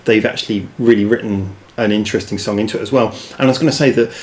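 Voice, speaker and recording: A man's voice: fairly low pitch, fairly even intonation, fast, darkish tone, low-quality recording. A man reviewing a song in a casual, rambling way, self-deprecating and full of restarts. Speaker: music reaction reviewer. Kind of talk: casual chat.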